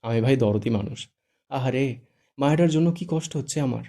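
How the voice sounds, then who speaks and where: A man's voice: mid-range voice, level tone, a little fast, dark tone, lightly acted. A man voicing a cheeky, dramatic young man who mocks his friend in a raised, theatrical voice. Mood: teasing.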